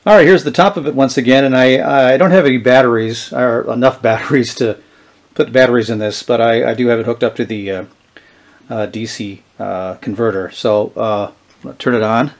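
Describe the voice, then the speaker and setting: A middle-aged man gives a casual, unscripted product demonstration, haltingly thinking aloud as he goes. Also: mid-range voice, fairly even intonation. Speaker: presenter of a vintage electronics channel. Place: indoors, small tabletop product-shooting setup.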